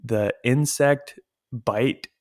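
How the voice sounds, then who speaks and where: A man with a booming voice co-hosting a casual podcast on a home mic, rambling loosely and laughing often. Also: drawn-out words, dry recording, studio quality. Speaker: podcast co-host. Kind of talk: casual chat.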